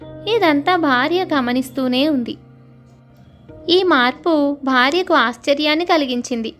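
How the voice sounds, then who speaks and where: A woman with a high voice narrates a scripted moral story as its storyteller. Light music plays quietly behind her. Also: a little slow. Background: soft music.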